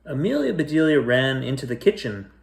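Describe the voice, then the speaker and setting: A man's voice: medium pitch, very animated, brisk, creaky. A man narrating a children's storybook as a bedtime reader, telling it phrase by phrase.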